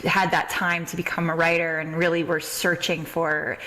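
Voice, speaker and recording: Female podcast host, bright and slightly low-pitched, effusive, casual interviewing style. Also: level tone, normal speed, poor audio. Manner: effusive, exclaiming.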